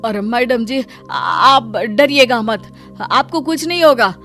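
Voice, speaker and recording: A woman voicing a caring, brave poor labourer husband who sounds concerned and reassuring in an animated moral story. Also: fairly high pitch, slow pace, faint music behind.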